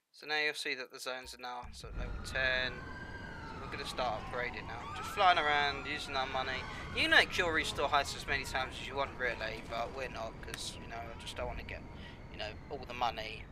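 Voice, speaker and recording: A man's voice: mid-range voice, fairly even intonation, fairly quick, background noise. A man with a cold and a slightly nasal voice, giving casual, rambling gameplay commentary full of ums and you-knows. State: has a cold, a bit nasal.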